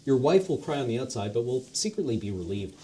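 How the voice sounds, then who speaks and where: A man's voice: medium pitch, big pitch swings, fast talker, mellow, lightly acted. A man reading the lines of a casual, patient male God who talks like a teacher. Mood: offhand. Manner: breezy and offhand, teacherly.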